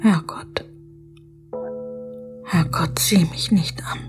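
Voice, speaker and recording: A remorseful, praying young sinner in a radio play. She whispers extremely slowly and brokenly, fervent and anguished, with clear music behind her. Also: on the low side. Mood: hushed, fearful reading. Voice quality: breathy, whispering. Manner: very slow, halting, fervent. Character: guilt-ridden, anguished poor woman praying over scripture. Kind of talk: German radio drama acting.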